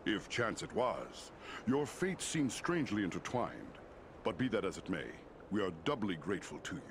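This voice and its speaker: Stern old-world ruler of giant eagles, fantasy game: measured authority, expressive intonation, clear noise behind. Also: male voice, deep voice. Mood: grateful, measured. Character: regal male giant eagle lord, wise and stern. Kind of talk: scripted fantasy game dialogue.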